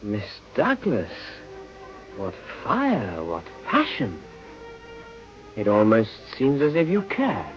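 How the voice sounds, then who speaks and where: Low-voiced, suave English parliamentarian. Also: male voice, huge pitch swings, slow pace, pauses often, dull audio, faint music behind, exaggerated voice. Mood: wry. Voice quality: polished, urbane diction. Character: suave, courteous English parliamentarian, gallant and reserved. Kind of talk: period radio drama acting.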